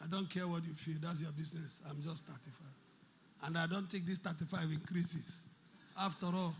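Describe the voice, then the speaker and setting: A man's voice: a little high, a little hiss or hum. A man preaching a sermon, repeating key phrases and asking rhetorical questions. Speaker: pastor.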